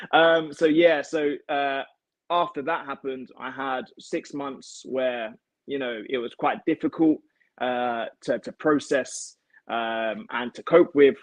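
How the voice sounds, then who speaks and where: A young man with a British accent speaks casually as a guest on a video call. His voice sits at an average pitch for a man. The sound is dry, with very little room in it. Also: fairly even intonation, slow, no echo. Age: young adult. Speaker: guest, elite martial arts athlete. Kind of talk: casual chat, telling own story. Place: home kitchen, indoors. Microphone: device mic on a video call.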